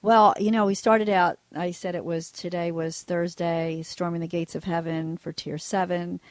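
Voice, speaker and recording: Raspy woman host, low voice, dry sound, chatty and joking. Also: flat delivery, moderate pace, no echo. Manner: warm, joking conversational hosting. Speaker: radio show host.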